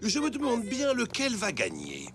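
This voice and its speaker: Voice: medium pitch, huge pitch swings, normal speed. A performer playing a genial zebra father who runs a contest in a French children's cartoon, with a French accent. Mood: cheerful, welcoming. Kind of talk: animated children's cartoon dub. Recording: clear music behind the voice.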